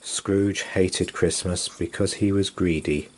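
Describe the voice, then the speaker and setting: Male storyteller, deep voice, unhurried pace, expressive rhyming bedtime narration. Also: slight background noise. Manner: deliberate, expressive, theatrical delivery. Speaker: storyteller reading a children's book aloud.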